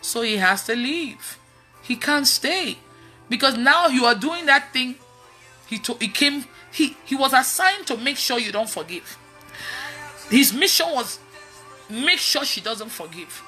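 Bright-voiced, high-pitched Nigerian woman preacher. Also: a little slow, faint music behind. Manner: animated, emphatic, repeats phrases for emphasis. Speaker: online preacher. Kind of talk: casual testimony-style preaching on a livestream. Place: indoors in a room at home.

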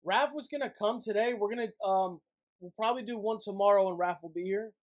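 A man's voice: high voice, quick pace. A man in his twenties hosting a casual livestream from his room, talking bluntly and swearing with an irritated edge. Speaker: livestream host. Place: small dim gaming room at home.

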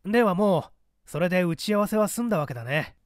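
Man acting a defiant high-pitched party operative. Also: expressive, a little fast, no echo, clean studio sound. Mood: businesslike. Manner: argumentative, formal, rhetorical. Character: defiant, questioning male party operative, cool and sardonic. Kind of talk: dramatic audiobook character dialogue.

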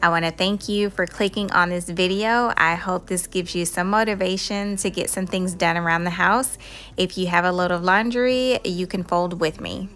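A woman's voice: fairly low pitch, steady tone, moderate pace, a bit bright, faint music behind. A woman doing a casual, conversational voice-over for a homemaking vlog, chatting in a friendly way. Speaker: homemaking vlogger.

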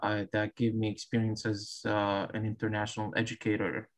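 Low, even-toned man from Morocco. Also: moderate pace. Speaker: grad student, panelist. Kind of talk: casual panel talk on video call. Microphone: video call.

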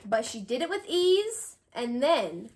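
A woman around her thirties reading a children's picture book aloud, expressive and sing-song, with drawn-out pauses for young listeners. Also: fairly high pitch. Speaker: read-aloud host, tutor. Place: small indoor room with bookshelves.

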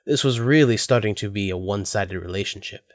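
A man's voice: on the low side, fairly quick, no room sound, clean studio sound. A man narrating a scripted audio drama as its storyteller.

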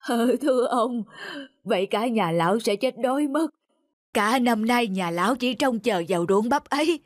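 A man's voice: very high-pitched, lively intonation, normal speed, no room sound, clean studio sound. A man playing a frail old peasant in a cartoon folk tale, humbly pleading. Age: older.

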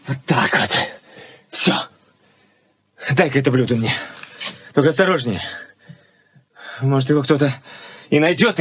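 An actor playing an earnest, stubborn young fiancé, speaking in intense short phrases in a radio play. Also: male voice, on the high side, natural voice. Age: young adult. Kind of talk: Soviet radio play acting. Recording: noisy 1980s radio play recording.

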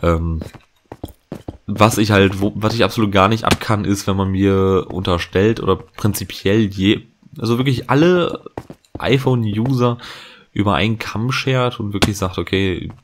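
A low-voiced man talks casually over his gameplay, drifting from thought to thought with plenty of fillers and self-corrections. A faint hiss and the odd click sit under his voice, as from a home desk mic. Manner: chatty, rambling, many fillers and restarts. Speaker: let's play host. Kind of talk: casual gaming commentary.

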